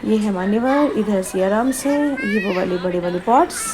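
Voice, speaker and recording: A woman's voice: medium pitch, lively intonation, unhurried, background noise. A woman narrating a family vlog in a chatty, casual way, slipping English phrases into her Hindi. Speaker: vlogger.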